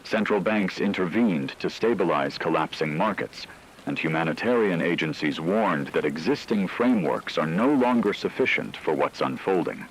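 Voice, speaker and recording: A man playing a solemn radio correspondent, reading scripted emergency news in a formal, measured way. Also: low-pitched, expressive, slight background noise, rough recording. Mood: grave, urgent. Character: grave male radio war correspondent in fictional broadcast.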